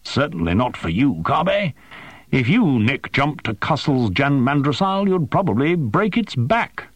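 Narrator as sardonic male artist: drawling, unhurried, stubborn, lively pitch, audiobook role. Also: slightly rough, no echo. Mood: wry. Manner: drawling, dry, sarcastic. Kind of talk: audiobook character voice.

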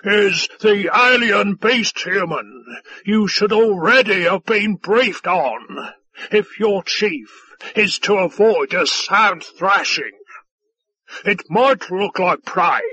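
A man's voice: high voice, takes it slowly, vocal fry, fairly bright tone, voice pushed up, exaggerated voice, rough recording. A man voicing a huge, boisterous male tentacled alien officer in an audiobook, bellowing imperiously at everyone. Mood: imperious roaring. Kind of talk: audiobook character voice.

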